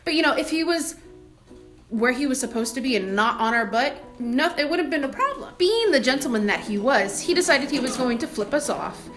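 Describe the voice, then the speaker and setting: Female vlogger, young adult, high sharp voice, casual emphatic storyteller with an indignant edge. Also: sing-song, fairly quick, faint music behind. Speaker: vlogger telling a personal story.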